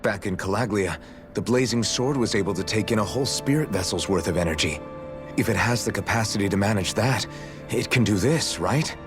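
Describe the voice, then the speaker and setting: Low-voiced, determined young hero played by a man. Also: a little fast, music in the background, close to an everyday voice. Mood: earnest, resolved. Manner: firm, plainspoken, earnest. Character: determined, blunt young male hero and leader.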